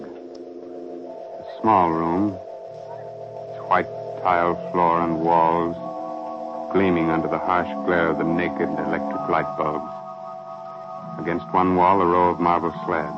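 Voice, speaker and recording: A man hosting and narrating a 1940s radio horror show in a dramatic, sardonic, theatrical manner. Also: low voice. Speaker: radio mystery host and narrator. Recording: muffled 1940s radio recording. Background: music.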